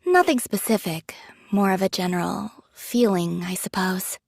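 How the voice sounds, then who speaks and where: A pragmatic young android soldier from an anime game. Her medium-pitched voice is casual and matter-of-fact, modest and quietly caring. The recording is very dry and close. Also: moderate pace, creaky, dry recording. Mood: thoughtful.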